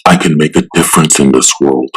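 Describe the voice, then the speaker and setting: A man's voice: a bass voice, a little fast, husky. An actor playing an earnest, troubled superhero searching for his origins, in a dramatic motion comic style. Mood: earnest, resolute. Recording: loud music behind the voice. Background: dramatic music.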